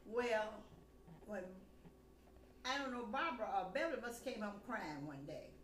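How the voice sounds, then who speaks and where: A woman's voice: on the high side, big pitch swings, moderate pace, fairly bright tone. An older woman, a grandmother, casually recounting family stories in a rambling, blunt way, acting out conversations as dialogue. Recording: echoey room, background noise.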